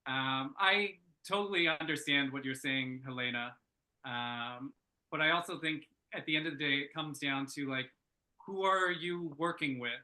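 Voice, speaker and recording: A man working as a story editor, giving thoughtful spoken feedback in a panel discussion with tentative pauses. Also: fairly high pitch, sharp tone, low-quality recording.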